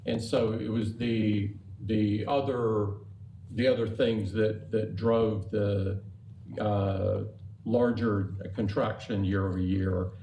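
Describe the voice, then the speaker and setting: An older man walks through quarterly figures in a steady, measured corporate tone. His voice is mellow and mid-pitched, and a faint hiss sits under the line. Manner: measured, upbeat corporate delivery with some hesitations. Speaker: company chief executive on an earnings call. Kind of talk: scripted earnings remarks, then unscripted answers.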